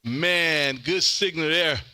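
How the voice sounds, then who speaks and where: A man's voice: a little high, slow, heavy background noise. An American man hosting an amateur radio show, chatting on air in an exuberant, folksy, emphatic way. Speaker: ham radio show host.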